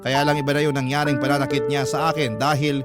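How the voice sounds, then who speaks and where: A man's voice: mid-range voice, little pitch movement, fast. A man with a Filipino accent reading a listener's letter as an emotive, scripted radio narration. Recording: loud music bed behind the voice. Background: loud instrumental music.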